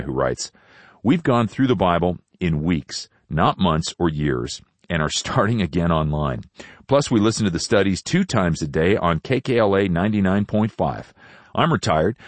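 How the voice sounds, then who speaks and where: A man hosting a radio Bible program, reading listener letters in an earnest, measured way. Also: low voice, dry recording.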